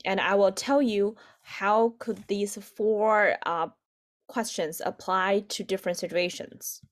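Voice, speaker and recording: A woman in her twenties with a Chinese accent and native Chinese, teaching an online language lesson haltingly, repeating phrases for learners. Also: medium pitch, fairly even intonation. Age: 20s. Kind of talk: online lesson, explaining with examples.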